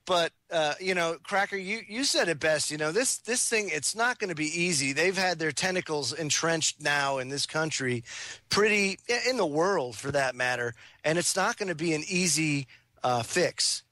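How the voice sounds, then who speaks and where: A man's voice: a little high, animated, moderate pace, sharp tone, no echo. A man hosting a talk radio show, speaking in a casual, chummy way and bantering with callers.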